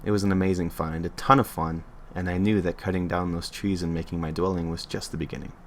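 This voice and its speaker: A man's voice: sits low, fairly even intonation, quick pace, slight background noise. A man reading a creepy internet story aloud on a livestream in an offhand way, stumbling and correcting himself as he goes.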